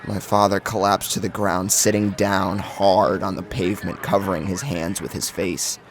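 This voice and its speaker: A man's voice: low-pitched, level tone, moderate pace, slight background noise. A man narrating a first-person horror story with an intense, emotive, dramatic delivery. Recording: music behind the voice.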